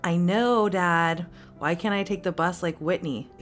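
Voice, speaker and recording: A woman's voice: on the low side, measured pace, faint music behind, lightly acted. A woman voicing an earnest nine-year-old boy in a story, childlike and easily exasperated. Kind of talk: storybook character dialogue.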